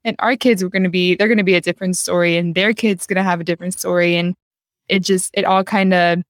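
A young woman hosts a podcast in an easy, chatty way, keen and affirming with her guest. Her voice sits a little low, smooth and clear. The sound is dry and close to the mic. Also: fairly even intonation, fast talker, dry recording. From United States. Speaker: podcast host. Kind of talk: casual podcast conversation.

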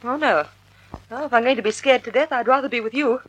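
A woman's voice: on the high side, fast talker, dull audio, heavy background noise, close to an everyday voice. An actress playing an elegant, nervous and loyal lady companion to a sleuth in a 1940s-style radio mystery. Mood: uneasy. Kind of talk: 1940s radio drama acting.

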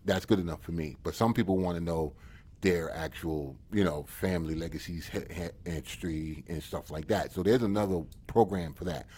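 A man's voice: deep voice, moderate pace, dark tone, breathy edge. A man, talking casually as a guest and rambling with constant repeats of "you get what I'm saying". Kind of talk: casual conversation.

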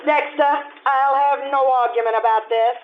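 Actress doing haughty rich bride-to-be, snippy and sarcastic, old time radio play, really tinny thin sound. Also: female voice, high-pitched, fairly even intonation, moderate pace, close to an everyday voice. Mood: accusing. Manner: imperious, sarcastic, clipped. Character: haughty, sharp-tongued young society bride-to-be. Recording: tinny band-limited stage radio show recording.

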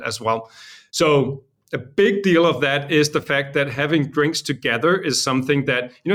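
A man's voice: medium pitch, a bit bright. A man from Denmark speaking as an interview guest and company founder, explaining things in an informal, conversational way.

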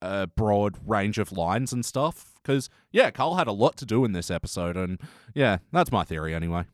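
A man's voice: lowish voice, animated, moderate pace, no echo, clean studio sound. A man hosting a podcast, chatting casually and offering his opinions in a conversational way. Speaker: podcast host. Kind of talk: casual episode review chat.

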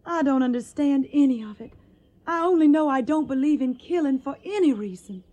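A high-pitched young Tennessee woman in a radio drama. She has a Southern accent and a tender, earnest way of talking. She sounds troubled and wistful, with a stubborn streak. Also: lively intonation, brisk, mellow. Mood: tender, anxious.

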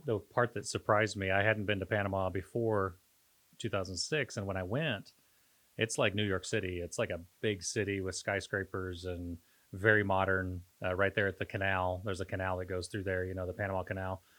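A man co-hosting a podcast in casual, reflective conversation, pausing and searching for his words as he talks. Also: low voice, fairly even intonation, a bit bright. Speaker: podcast co-host.

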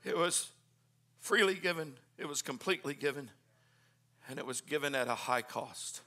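A man preaching a Pentecostal sermon, swinging from hushed, quick asides to shouted hallelujahs. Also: a little high, expressive. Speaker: pastor. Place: church.

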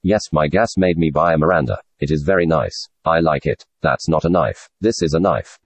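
A man from Australia putting on a deadpan, stiff mock-Australian bloke voice on a podcast video call. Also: rumbling low, moderate pace, breathy edge, dry recording, exaggerated voice. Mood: deadpan joking. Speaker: podcast co-host.

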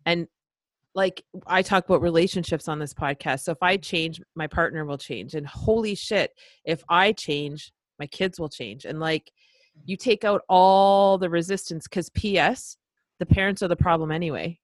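A woman hosting a podcast, chatty and excitable, casually swearing as she talks. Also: lowish voice, normal speed, dry recording. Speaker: podcast host. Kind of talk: casual interview chat.

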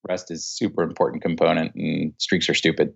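A man's voice: a bass voice, flat delivery, fairly quick, gravelly, husky, highs cut off. A middle-aged man, a guest lawyer, chatting in a laid-back way, swearing casually and laughing readily. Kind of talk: casual podcast chat.

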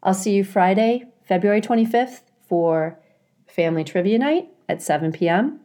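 A woman reading aloud as a storyteller, in an expressive style suited to a children's audiobook. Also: medium pitch, normal speed. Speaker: story reader, school staff.

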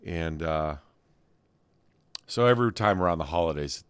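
A man's voice: sits low, lively intonation, normal speed, clear, resonant tone, no room sound. A middle-aged man co-hosting a podcast, telling fond, rambling stories in casual chat. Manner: rambling storyteller, fond and nostalgic. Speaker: podcast co-host.

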